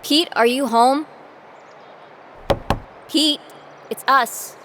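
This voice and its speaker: A woman's voice: high-pitched, extremely slow, noisy background. A woman playing a self-doubting young fighter who narrates her own story in an audio drama. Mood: calling out.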